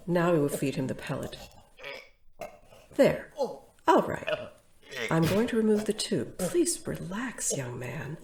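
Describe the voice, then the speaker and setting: A woman playing an eerily calm, detached nurse in an audio drama, announcing each step procedurally. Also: low-pitched, roomy sound, exaggerated voice.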